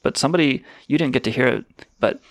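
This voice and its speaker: गरजती खुरदरी आवाज़ वाला व्यंग्यात्मक पुरुष होस्ट. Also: male voice, medium pitch, fast, fairly bright tone, dry recording. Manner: crude, sarcastic, rambling. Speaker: radio show host. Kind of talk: irreverent comedic banter.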